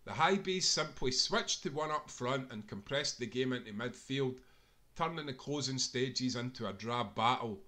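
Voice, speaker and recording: A man narrates a football book in a bright, forceful voice of average pitch. He reads from a script like a storyteller, leaning on key phrases with dramatic emphasis. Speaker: author-reader of a football book.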